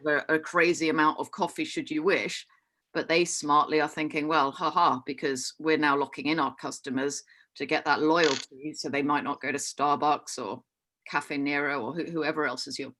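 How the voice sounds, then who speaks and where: Woman with Hong Kong accent, forum panelist, bright crisp tone, eager and businesslike, frequent restarts, video-call sound. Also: low voice. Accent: Hong Kong English. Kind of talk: conference talk.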